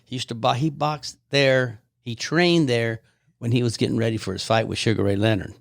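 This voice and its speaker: Older man, podcast guest; gravelly, dry close sound, easygoing storyteller. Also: medium pitch, fairly even intonation, normal speed, dry recording. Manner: rambling storyteller, reminiscing. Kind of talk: casual reminiscing chat.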